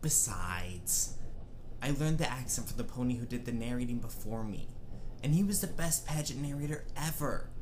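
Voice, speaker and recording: A man's voice: mid-range voice, expressive, moderate pace, lightly acted. A man playing a cheeky, theatrical young male dragon with a showy way of talking, in an amateur audio drama on a noisy microphone. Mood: proud, dramatic. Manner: grandiose storytelling, showy.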